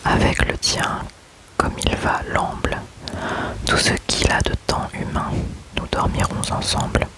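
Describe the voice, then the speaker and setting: Male ASMR reader, hushed unvoiced whisper, drawn-out unhurried delivery for sleep. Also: rough recording. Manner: slow, soft unvoiced whisper throughout. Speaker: ASMR creator. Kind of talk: whispered ASMR poetry reading.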